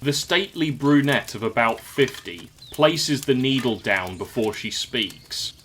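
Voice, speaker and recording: A man's voice: mid-range voice, animated, measured pace, fairly bright tone, a little hiss or hum. A man narrating a scripted audio drama in the present tense, as a storyteller.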